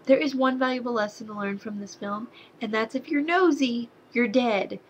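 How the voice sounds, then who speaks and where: Young woman movie reviewer, slight Southern accent, higher voice, sarcastic rants with exasperated outbursts. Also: normal speed, dull audio, slight background noise. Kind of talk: casual movie review to camera.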